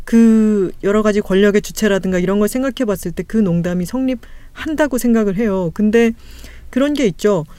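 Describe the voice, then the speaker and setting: A woman hosting a talk podcast, thinking aloud and weighing her words with many small pauses. Her voice sits at an ordinary middle pitch. The recording is close and dry, with no room sound. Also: warm tone, clear, resonant tone, dry recording. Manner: thoughtful, explains carefully with many fillers. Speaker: podcast host. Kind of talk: casual chat.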